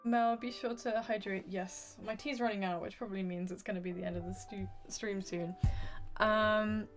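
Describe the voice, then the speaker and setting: A soft-spoken woman whose voice is going hoarse, chatting apologetically with viewers as she draws on a livestream. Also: medium pitch, steady tone, normal speed, faint music behind. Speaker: art streamer. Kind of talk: casual livestream chat while drawing.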